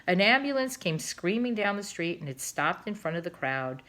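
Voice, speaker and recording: A woman reading a children's storybook aloud in an expressive narrating style. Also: on the low side, moderate pace. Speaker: storybook reader.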